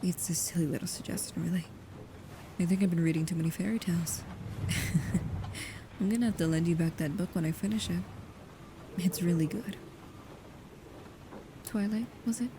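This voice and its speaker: A woman playing a devoted, anxious, shyly affectionate vampire bodyguard in an intimate ASMR roleplay, speaking softly and haltingly, often near a whisper. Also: fairly low pitch, natural voice. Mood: bashful, deflecting. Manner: hesitant, halting, soft-spoken, often dropping to a whisper. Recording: clearly audible background ambience noise.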